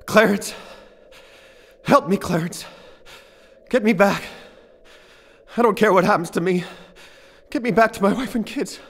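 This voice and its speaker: A man's voice: high voice, exaggerated voice. An actor playing an emotional, desperate family man in his thirties in a radio play, impassioned and stammering when agitated. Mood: broken, pleading. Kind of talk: live radio play acting. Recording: hall reverb with music behind.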